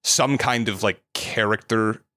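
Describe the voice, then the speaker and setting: A man with a somewhat low voice chats as a guest after a tabletop game, relaxed and restarting his sentences as he looks for the right words. The sound is dry and close to the mic. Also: unhurried, dry recording. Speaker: podcast guest and player. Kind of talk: casual post-game chat.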